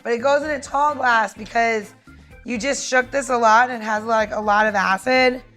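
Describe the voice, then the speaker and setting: A woman's voice: on the high side, fairly even intonation, normal speed, a bit bright, faint music behind. A woman on a podcast explaining drinks in casual chat, breezy, informal and playful. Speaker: cocktail maker on a podcast.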